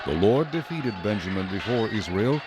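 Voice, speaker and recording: A man giving solemn, measured scripted narration for a dramatized Bible recording. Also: lowish voice, big pitch swings, noisy background.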